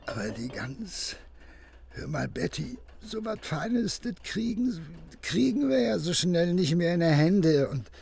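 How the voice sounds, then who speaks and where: An actor playing a poor, simple-hearted porter with a Berlin accent in a German audio drama, colloquial, hesitant and excitable, with an anxious streak. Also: male voice, high voice, measured pace, a little hiss or hum, exaggerated voice. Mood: wheedling. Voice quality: gravelly, husky.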